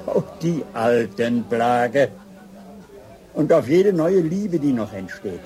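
An older actor playing a gentle, thoughtful father in a German radio play, speaking in a measured way. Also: mid-range voice, animated. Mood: wistful. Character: gentle, reflective old father, loyal to daughter.

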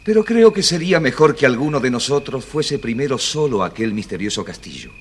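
A level-headed young musician in a storybook tale. His mid-pitched voice is husky and expressive, with a long echo. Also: a little fast. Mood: cautious. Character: cautious, sensible young travelling musician. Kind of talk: audio storybook character acting. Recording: long reverb.

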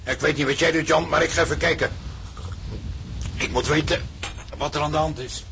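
An actor playing a gruff, practical older father from a seafaring family in a radio drama, with a gravelly voice. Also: a little high, fairly even intonation, normal speed, background noise. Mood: restless. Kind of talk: Dutch radio drama acting.

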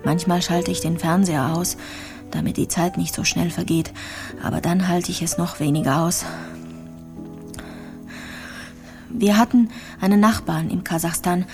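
Actress as a soft, low-voiced, gravely ill young woman. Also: steady tone, natural voice. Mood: pensive. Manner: soft, halting, introspective. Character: gravely ill young woman, melancholic, quietly fearful, reflective. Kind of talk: radio drama monologue. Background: music.

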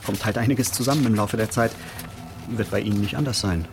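A man's voice: on the low side, brisk, over music, close to an everyday voice. An actor playing a nosy, officious male neighbour in a radio drama, speaking in a hesitant, wordy, pedantic way. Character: nosy, officious male neighbour who logs his fellow tenants. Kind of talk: naturalistic radio drama acting.

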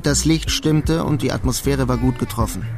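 An actor as a young male clerk in a radio crime play, sly and resigned. He tells his story with understated irony at a medium pitch, with music clearly behind him. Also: moderate pace, natural voice. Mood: wry, reflective. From Germany. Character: sly, resigned young German immigrant clerk, wryly ironic. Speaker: narrator and lead character. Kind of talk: radio drama first-person narration and dialogue.

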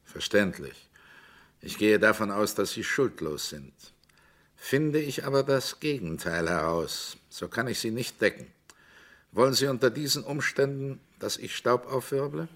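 An actor playing a brisk, matter-of-fact investigator in a crime radio drama. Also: male voice, medium pitch, lively intonation, fairly bright tone. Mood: businesslike.

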